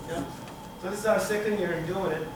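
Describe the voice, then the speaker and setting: A man's voice: high-pitched, fairly even intonation. Man lecturing in an echoey hall. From Turkey. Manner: halting, many fillers and restarts. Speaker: conference speaker. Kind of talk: technical conference talk. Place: conference room with projector screen. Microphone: room camera from the back of the hall. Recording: echoey hall, speaker far from mic, room noise.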